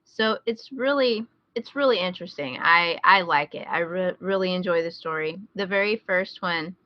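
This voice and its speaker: A woman's voice: on the low side, moderate pace, no room sound, lo-fi sound. A woman with an American accent chatting casually as a podcast co-host, recommending things she enjoys. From rural Midwest United States.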